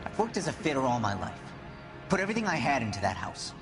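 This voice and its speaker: Defensive, animated working man acted by a man. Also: mid-range voice, fast talker, over music, lightly acted. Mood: bitter, angry. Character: defensive, bitter 1940s working-class male gas fitter. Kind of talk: dramatic video game acting.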